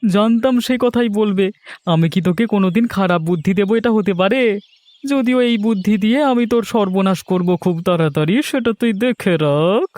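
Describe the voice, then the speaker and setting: A man's voice: high-pitched, brisk, darkish tone, no echo, natural voice. A man voicing a cunning, greedy village fish trader in a cartoon story, falsely friendly and pushy in his persuasion. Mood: sly scheming. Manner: persuasive, pushy, smooth-talking. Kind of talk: Bengali cartoon story voice acting. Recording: music bed under the voice.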